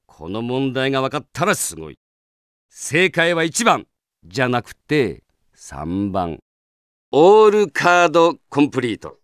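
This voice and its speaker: An animated, encouraging game hero. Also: male voice, a little high, dry recording, studio quality. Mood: playful, encouraging, then congratulating. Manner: clear, emphatic, addressing children directly. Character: friendly, encouraging heroic masked man, earnest big-brother type. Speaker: hero narrator of a card quiz mode. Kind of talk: scripted game dialogue addressed to a young player.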